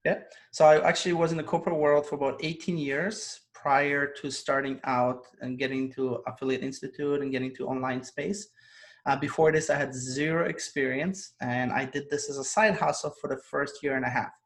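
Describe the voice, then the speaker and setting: A man's voice: mid-range voice, steady tone, normal speed. A man, a guest telling his story earnestly on a video call and laughing often. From Poland. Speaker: guest, online business coach. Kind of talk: casual interview over video call.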